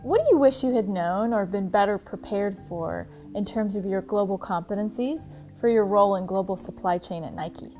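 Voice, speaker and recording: A woman interviewer asking prepared questions in a measured, formal way. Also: medium pitch, a little mellow, faint music behind.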